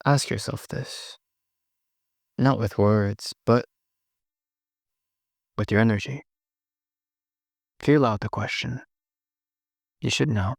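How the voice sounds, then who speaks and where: A man leading a guided meditation in short, gently instructive phrases with long pauses between them. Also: mid-range voice, gravelly, dry recording, clean studio sound.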